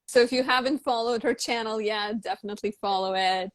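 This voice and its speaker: A woman's voice: a little high, a little fast, dry recording. Woman, astrology host, casual chatty talk, dry close recording. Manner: chatty, frequent "like" fillers. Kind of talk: casual live-stream chat.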